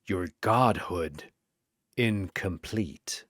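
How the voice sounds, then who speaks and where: A man playing a proud, grave fallen angel, hushed and solemn, in an audio fantasy battle. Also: deep voice, very slow, husky, no room sound, studio quality, exaggerated voice.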